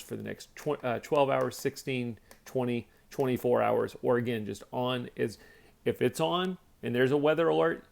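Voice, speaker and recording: A man with a mid-pitched voice that often settles into creak talks through a product demonstration. His delivery is plainspoken and casual, explaining each feature in simple steps. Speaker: product reviewer.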